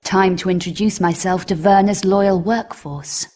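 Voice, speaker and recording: An actress playing a confident, wry British adventurer heroine in a video game, with a cool, dry delivery. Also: female voice, fairly low pitch, steady tone, unhurried, mellow, a little hiss or hum, lightly acted. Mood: determined. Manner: cool, dry, self-assured. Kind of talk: video game cutscene acting.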